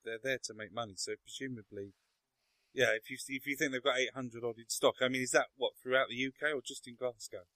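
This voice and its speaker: A man hosts a casual podcast chat. He talks at a middle pitch with a dry, close sound. He hesitates often and restarts sentences. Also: fairly even intonation, fast talker, sharp tone, dry recording. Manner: hesitant, lots of ums and restarts. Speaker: podcast host.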